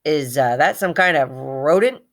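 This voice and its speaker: A woman's voice: sits low, animated, measured pace. A woman voicing a stern storybook schoolteacher, curt and full of disgust. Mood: disdainful. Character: stern woman schoolteacher who loathes rodents, horrified and curt.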